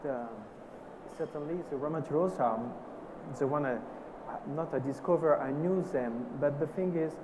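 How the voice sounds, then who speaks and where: A man with a French accent speaking on a conference panel, halting and searching for his words in English. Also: fairly high pitch, background noise. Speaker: perfumer. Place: conference stage.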